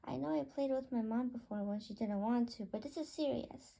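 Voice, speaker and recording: A woman's voice: on the high side, brisk, creaky, dark tone. A woman voicing a jumpy but boastful pet bird in a storybook, with a dramatic, challenging manner. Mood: defiant.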